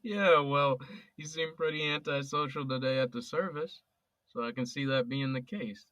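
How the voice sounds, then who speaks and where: A man's voice: mid-range voice, normal speed, a bit bright, no echo, natural voice. An actor playing a sincere young male police officer who talks casually and earnestly with his best friend. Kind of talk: audio drama acting. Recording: dull, dry, lo-fi recording.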